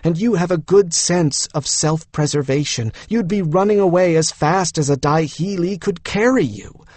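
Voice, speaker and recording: A man's voice: on the high side, moderate pace, a little mellow, no room sound, pitched above the performer's own voice, lightly acted. A man voicing a frank young woman in an audiobook, coaxing and lecturing insistently. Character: frank, coaxing young woman, exasperated older-sister-style adviser. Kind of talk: audiobook character dialogue.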